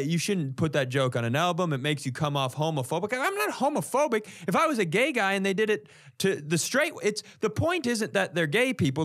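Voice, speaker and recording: A man's voice: sits high, a bit bright. A man telling comic stories in casual podcast chat, with an exasperated, profane delivery. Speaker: comedian, podcast co-host.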